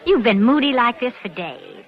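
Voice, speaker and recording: An actress playing a shrewd young bank worker, coy and knowing, in 1940s radio noir style. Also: female voice, a little high, sing-song, moderate pace, muffled recording, crowd noise. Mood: teasing concern.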